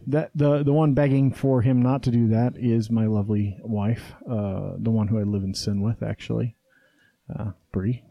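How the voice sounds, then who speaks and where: A man hosting a casual podcast, talking in a rambling, halting way with lots of fillers and restarts. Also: lowish voice, steady tone, vocal fry, no echo, lo-fi sound. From Utah. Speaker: podcast host. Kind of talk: casual chat. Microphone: studio headset mic.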